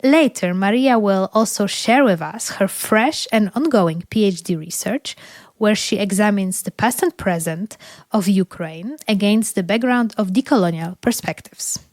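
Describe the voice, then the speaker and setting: Woman podcast host, measured scripted delivery, dry close sound, mid pitch. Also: a little mellow, no echo. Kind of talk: scripted podcast intro, then interview questions.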